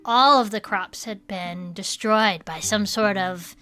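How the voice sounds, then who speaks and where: A woman's voice: mid-range voice, takes it slowly, sharp tone. A woman voicing an eager, chatty cryptid hunter who tells local legends with theatrical suspense on an in-character podcast. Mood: ominous, gossipy. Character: Herb, eager cryptid-hunting storyteller, chatty and dramatic.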